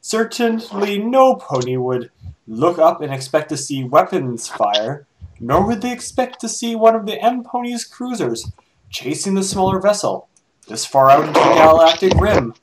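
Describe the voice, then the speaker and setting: Man dramatically reading a story, wide-swinging pitch. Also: big pitch swings, measured pace, low-quality recording. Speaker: fan fiction reader. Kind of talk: dramatic amateur story narration. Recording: amateur recording with hiss and clicks.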